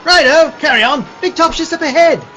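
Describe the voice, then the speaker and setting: A man playing a fussy, excitable theatrical old gentleman in a comic radio play, pompous and full of old-fashioned exclamations. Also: extremely high pitch, brisk, soft background music. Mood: hurried, grandiose.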